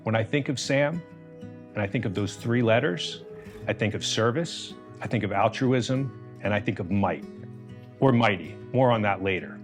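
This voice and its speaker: A man hosting an interview, introducing his guest deliberately and asking friendly questions. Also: lowish voice, lively intonation, over music. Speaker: interview host.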